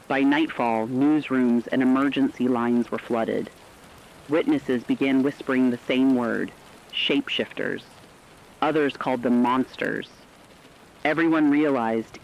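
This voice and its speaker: Male narrator, mid-range, solemn deliberate apocalypse radio chronicle, muffled lo-fi sound. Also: medium pitch, a little hiss or hum. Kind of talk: scripted horror narration in the manner of an emergency radio chronicle.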